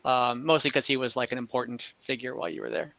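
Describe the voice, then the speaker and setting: A man's voice: medium pitch, steady tone, quick pace, creaky, fairly bright tone, no room sound. A man narrating as game master, describing a scene casually with many fillers and self-corrections. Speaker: dungeon master. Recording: slightly dull lo-fi online audio.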